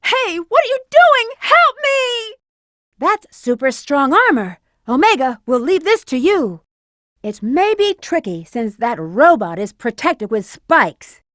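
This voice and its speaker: A woman's voice: sits high, very animated, slow pace, fairly bright tone, dry recording, studio quality, full character voice. A woman voicing a confident, sly female bat treasure hunter in a video game, with a sassy manner. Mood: alarmed.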